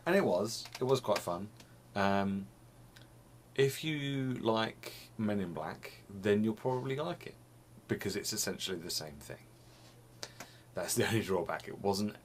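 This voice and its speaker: A young man with a very deep, low voice talks loosely to the camera, circling back and repeating himself as he goes. He sounds a little sniffly. It is recorded on a desk camera in a small room at home, with a faint hiss behind him. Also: animated, unhurried. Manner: rambling, restarts phrases, laughs often. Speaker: vlogger. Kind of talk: casual chat to camera.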